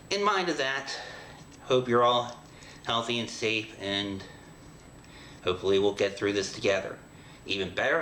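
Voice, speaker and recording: Low-voiced man hosting from an echoey room. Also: sharp tone. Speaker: host of an audio drama show. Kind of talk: casual show introduction to camera. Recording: echoey room with background hiss.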